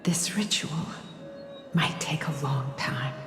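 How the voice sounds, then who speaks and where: A woman playing a gentle, loving mother in a dramatic game cutscene, speaking tenderly in a slow, hushed, breathy voice. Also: deep voice. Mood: wistful, reassuring. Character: gentle, loving mother and holy maiden soothing her child. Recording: echoey long reverb under clear music.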